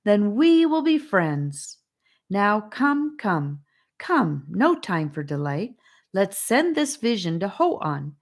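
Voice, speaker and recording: Lady doing a giddy little storybook girl, sing-songy and theatrical, swoops up high. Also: sing-song, takes it slowly, heavily acted. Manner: dramatic, sing-song.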